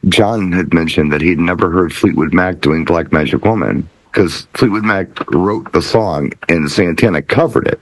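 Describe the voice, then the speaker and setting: A man's voice: sits low, moderate pace, hardly any pauses, no echo, poor audio. A middle-aged man talking casually on a discussion panel. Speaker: DJ and panel member.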